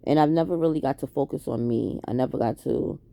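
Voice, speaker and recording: A woman's voice: a bass voice, fast, creaky, mellow. A woman around forty, talking to camera in a candid, hesitant, reflective way, with many ums and restarts. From Queens, New York. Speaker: vlogger. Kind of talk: candid late-night confessional talk to camera.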